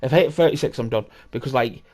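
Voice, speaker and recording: A man in his thirties hosts a laid-back podcast chat. He swears freely and rambles, often restarting his sentences. His voice sits at an ordinary middle pitch. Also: fast, darkish tone. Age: 30s. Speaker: podcast host. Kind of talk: casual chat.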